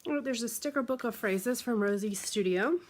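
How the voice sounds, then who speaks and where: A woman's voice: on the high side, normal speed. A woman from the United States, congested from the flu, chatting casually with lots of ums and likes as she shows off scrapbooking supplies. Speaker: craft vlogger. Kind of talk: casual show-and-tell unboxing.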